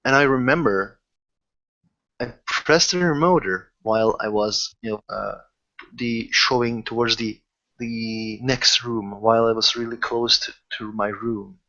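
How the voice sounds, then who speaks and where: A man who haltingly searches for words as he improvises in a casual tabletop roleplaying session. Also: mid-range voice, steady tone, sharp tone, lo-fi sound. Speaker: game master or player in a tabletop roleplaying session.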